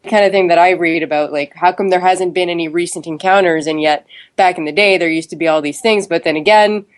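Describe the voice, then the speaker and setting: A woman with a slightly low voice chats on a podcast. Her talk is informal and conversational, with "like" dropped in often. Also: fairly even intonation, quick pace. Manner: chatty, informal, says "like" often. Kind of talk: casual podcast chat.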